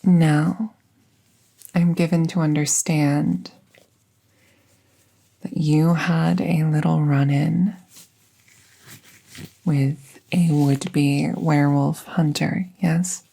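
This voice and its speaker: A woman playing a calm, gentle magical veterinarian in a soft, breathy, soothing ASMR-style roleplay, often half-whispering. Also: low-pitched, full character voice. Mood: calm, reassuring. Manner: slow, hushed, soothing, reassuring. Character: gentle, calm female magical veterinarian treating a wounded werewolf.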